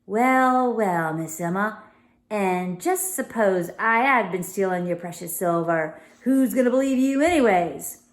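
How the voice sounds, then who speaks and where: A woman voicing a surly male thief in a children's storybook, sharp, sneering and defiant. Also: medium pitch, lively intonation, unhurried, lightly acted. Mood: sneering, threatening.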